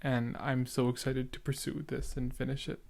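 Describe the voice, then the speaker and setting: A man's voice: medium pitch, flat delivery, creaky, darkish tone. Young male podcaster, casual and earnest, mid-range voice, occasional stutter. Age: young adult. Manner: halting, stuttering, repeats words. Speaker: podcast host reacting to an old episode. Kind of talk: casual reaction commentary.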